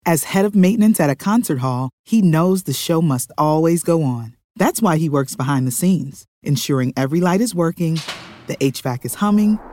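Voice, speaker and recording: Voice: mid-range voice, animated, normal speed, a little mellow, dry recording, clean studio sound. Scripted commercial announcer voice. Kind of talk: scripted advertising narration.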